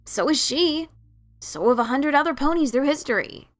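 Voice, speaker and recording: Brash heroine pony for fantasy audio story , acted by a woman: skeptical, informal, very animated. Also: high-pitched, normal speed, soft background music. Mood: incredulous, defensive. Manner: blunt, incredulous, informal. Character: brash, skeptical adventurer mare, adult female pony. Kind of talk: fan fiction dramatic reading.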